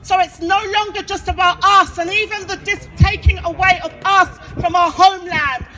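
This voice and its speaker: A woman giving an emphatic, declamatory public address as an activist, phrasing deliberately, outdoors. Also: extremely high pitch, fairly even intonation, pushed and bright. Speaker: activist speaker. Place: outdoors at a street gathering.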